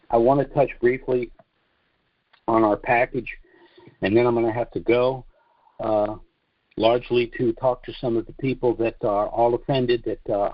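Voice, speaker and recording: An American man giving a monologue briefing as host of a conference call, speaking deliberately and haltingly, with emphatic drawn-out phrases. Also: fairly low pitch, dry recording. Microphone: conference call line. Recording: dull, lo-fi conference call audio.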